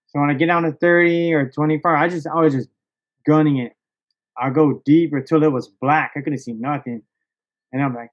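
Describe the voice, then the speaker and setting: Male podcast guest, higher-pitched, excitable casual storyteller, slangy and sweary, slightly lo-fi recording. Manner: slangy, excitable, profanity-laced, peppered with "bro" and "dog".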